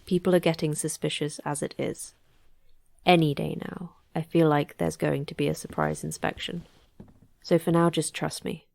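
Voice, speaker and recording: Secretive boss lady, low n gravelly, clipped, deadpan, audio drama vibe. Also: normal speed, no echo. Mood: firm, guarded. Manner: terse, commanding, deadpan. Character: terse, secretive female manager of secret facility. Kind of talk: audio fiction drama acting.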